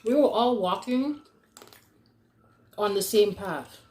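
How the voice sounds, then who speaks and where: A woman's voice: mid-range voice, lively intonation, takes it slowly, a little mellow. Young female storyteller, informal and chatty, acts out quotes, echoey room sound. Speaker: storyteller, vlog host.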